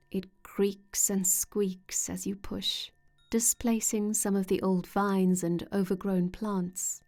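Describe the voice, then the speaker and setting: A woman leading a scripted guided meditation in a gentle, soothing way with measured pauses. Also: mid-range voice. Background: soft meditation music with singing-bowl tones.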